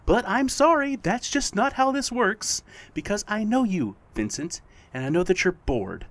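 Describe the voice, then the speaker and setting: An actor in an audio drama playing a playful, needling supernatural being who poses as a best friend, with theatrical, drawn-out teasing. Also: medium pitch, very animated, fairly bright tone. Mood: needling, coaxing.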